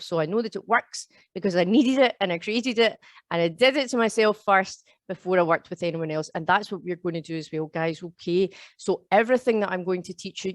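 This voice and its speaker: A woman's voice: lowish voice, no echo. A woman in her thirties with a Glasgow accent, leading a live online yoga teacher training and checking in often with her students. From Scotland. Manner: chatty, keeps checking in with "okay?" and "all right?". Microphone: video call.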